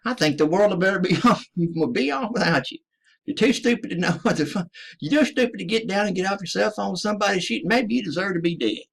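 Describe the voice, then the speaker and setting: A man's voice: high voice, expressive, fast talker, gravelly, husky. A man from the United States, a military veteran, delivering a profane, emphatic ranting monologue to his listeners. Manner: profane, emphatic, addresses listeners as ladies and gentlemen.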